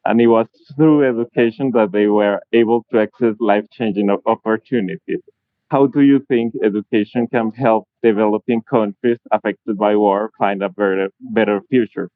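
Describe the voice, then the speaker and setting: A man of twenty from Colombia, a political science student speaking earnestly and a little haltingly on a video call. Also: fairly low pitch, fairly even intonation, no echo. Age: 20s. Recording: tinny phone-like video call audio.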